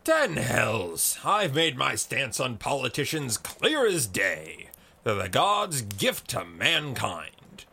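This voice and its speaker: A man's voice: fairly high pitch, huge pitch swings, a little slow, vocal fry, few pauses, pushed and bright, slightly rough, full character voice. A man voicing a fiery, hearty explorer colonel from a fantasy story, boisterous and indignant. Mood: indignant bluster.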